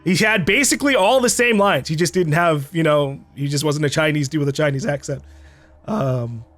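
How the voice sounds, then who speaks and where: Rambling higher-voiced man reviewing a game. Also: fairly bright tone, no echo. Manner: rambling, halting, frequently circling back. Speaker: gaming video host. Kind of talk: casual off-the-cuff game review commentary. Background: music behind the voice.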